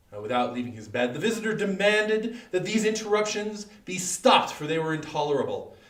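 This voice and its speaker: A man telling a horror folktale dramatically, deliberate and suspenseful, with long pauses. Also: on the high side, fairly bright tone. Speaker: storyteller. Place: indoor room, live online performance. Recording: echoey room, distant microphone.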